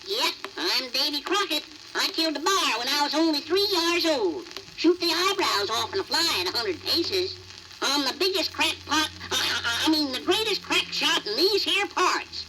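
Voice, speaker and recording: A boastful little cartoon woodpecker with brash, folksy swagger. The voice is high and leaps around animatedly, under heavy surface noise from a 1950s children's 78rpm record. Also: lively intonation, normal speed. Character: cocky, boastful cartoon woodpecker, tall-tale fibber. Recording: noisy old 78rpm record.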